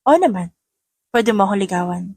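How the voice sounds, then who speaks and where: A woman's voice: medium pitch, lively intonation, brisk, dark tone, no room sound, clean studio sound. A woman voicing a sassy, feisty young mother who is being courted, in a romantic audio drama. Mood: sweet, pleased.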